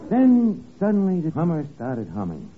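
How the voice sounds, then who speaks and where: An actor playing an earnest detective sidekick who narrates a 1950s radio comedy spoof with mock-serious emphasis. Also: male voice, on the high side, wildly swooping pitch, normal speed, breathy edge, heavily acted. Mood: dramatic narrating. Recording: crackly old radio broadcast, narrow band, studio audience.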